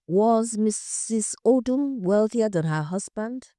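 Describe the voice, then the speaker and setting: A woman's voice: on the high side, expressive, slow, mellow, no echo, clean studio sound, pitched above the performer's own voice. A woman playing a composed female investigative journalist asking direct questions in an audio story. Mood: probing.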